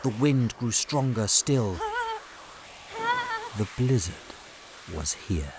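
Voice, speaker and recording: A man narrating a story dramatically, building suspense with short weighted phrases and pauses. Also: fairly low pitch, lively intonation, heavy background noise. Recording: loud storm ambience behind narration.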